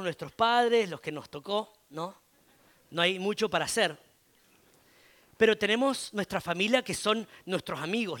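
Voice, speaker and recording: A man with an Argentine Spanish accent preaching a conversational sermon as a pastor, often pausing on "eh" and asking "¿no?". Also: sits high, fairly bright tone. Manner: conversational, frequent filler "eh" and tag "¿no?".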